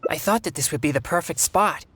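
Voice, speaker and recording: Earnest teenage boy exorcist, expressive. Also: fairly high pitch, slight background noise. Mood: earnest, calm. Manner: earnest, measured, formal. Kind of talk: video game voice acting.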